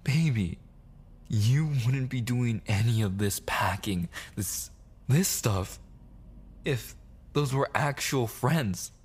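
A man playing a possessive, obsessively jealous boyfriend in an intimate ASMR audio roleplay, pleading and menacing in halting, soft speech that slips into whispers. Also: medium pitch, lively intonation. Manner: pleading, halting and repetitive, slipping into breathy whispers.

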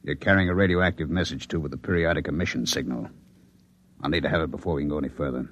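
A man's voice: a bass voice, quick pace, a bit bright, dull audio, full character voice. An actor playing a big, menacing government agent in an old-time radio drama, curt and commanding with tough-guy slang. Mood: cold, threatening.

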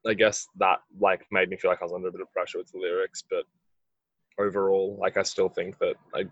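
A musician with a husky voice, answering interview questions in a rambling way, full of "like". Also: male voice, sits low, level tone, a little fast, fairly bright tone, no echo, dull audio. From Australia. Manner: rambling, heavy use of "like". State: husky from late rehearsal. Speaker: musician in a band.

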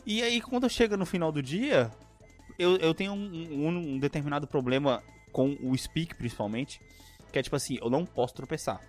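A man's voice: fairly high pitch, fairly quick. A man co-hosting a casual podcast, rambling in slangy chat with lots of fillers. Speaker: podcast co-host. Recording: faint music behind.

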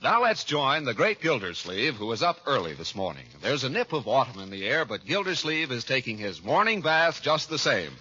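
A man's voice: medium pitch, very animated, normal speed, few pauses. An American man announcing a 1940s radio show in a scripted style. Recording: muffled old radio broadcast with music. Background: music.

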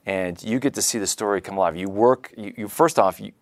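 A male podcast host talks conversationally in a low voice. He stresses his points by repeating words, and the sound is dry and close. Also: a little fast, no echo. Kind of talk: conversational interview.

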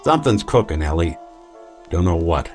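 Low-voiced, world-weary private eye. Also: male voice, big pitch swings, normal speed, a little mellow, soft background music. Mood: wry, resigned. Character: weary, wry male synth private detective. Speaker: detective in an audio drama. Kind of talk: old-time radio noir acting.

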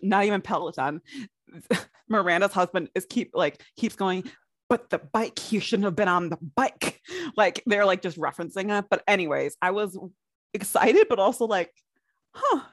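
A woman's voice: mid-range voice, normal speed, dry recording, studio quality. A woman co-hosting a podcast, chatting casually and telling stories with some swearing. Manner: chatty, swears, animated storytelling. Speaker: podcast co-host.

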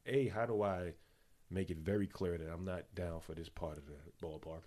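A man's voice: low-pitched, fairly even intonation, normal speed, dark tone. A man hosting a podcast, chatting bluntly and joking with his guest. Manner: laid-back, blunt and joking. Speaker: podcast host. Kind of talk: casual chat.

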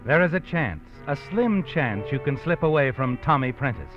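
An actor playing a knowing, sardonic narrator who taunts the protagonist in old-time radio suspense style. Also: male voice, mid-range voice, very animated, normal speed, close to an everyday voice. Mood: knowing, taunting. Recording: muffled old radio recording with music.

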